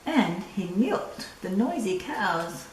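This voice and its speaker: An older woman reading a picture book aloud to children, deliberate and drawn-out, with little asides. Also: mid-range voice, sing-song. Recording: echoey room, distant mic, faint noise.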